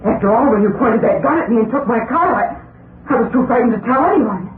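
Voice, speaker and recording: A woman's voice: on the high side, lively intonation, quick pace, phone-quality sound, a little hiss or hum, natural voice. Actress, nervous female witness, earnest, hesitant, 1940s radio drama, distant echoey room, thin old broadcast sound. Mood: nervous, stalling. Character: frightened young woman witness, standing her ground.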